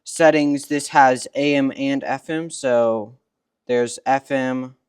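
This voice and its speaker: A man's voice: mid-range voice, slow, smooth, no echo, clean studio sound. A man explaining features step by step as a product demonstrator, recorded indoors in a workshop.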